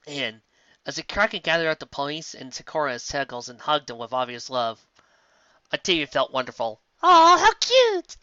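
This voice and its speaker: A reader narrating a pony fanfiction story in an expressive audiobook style, with sudden jumps in pitch. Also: fairly quick, no echo, highs cut off.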